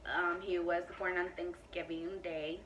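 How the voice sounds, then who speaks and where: A woman's voice: fairly low pitch, level tone, measured pace, a bit bright, distant mic, dull audio, a little hiss or hum. A woman in her twenties chatting casually to camera in a beauty Q&A, emphatic and full of "like" and "you know.". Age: 20s. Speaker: beauty vlogger.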